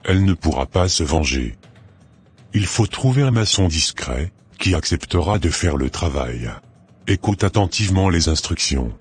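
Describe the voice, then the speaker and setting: A man voicing a sinister, commanding older marabout sorcerer in a French cartoon, speaking in a measured, solemn way. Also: low-pitched, animated. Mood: cold, instructing. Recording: music behind the voice.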